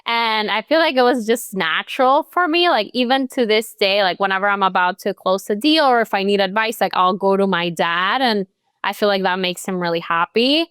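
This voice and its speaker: A young woman from Colombia talks through her career as an interview guest, her voice smooth and clear. She chats easily, filling her talk with "like" and "you know". The sound is close and dry. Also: mid-range voice, normal speed, fairly bright tone, no room sound. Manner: chatty, many "like" and "you know" fillers. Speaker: guest, real estate agent. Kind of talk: casual interview chat.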